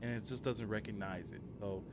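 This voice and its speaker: A man's voice: on the low side, fairly even intonation, a little fast, noisy background. A man livestreaming a video game, chatting casually and giving short spoken commands. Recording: loud game music behind the voice.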